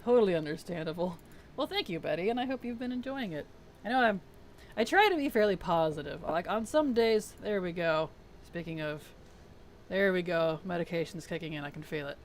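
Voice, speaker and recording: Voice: medium pitch, lively intonation, brisk, slight background noise. Streamer with a weak, worn-out voice. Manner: soft-spoken, reads chat aloud and mutters to self. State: weak, strained voice. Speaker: game streamer. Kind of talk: casual live-stream chat while playing.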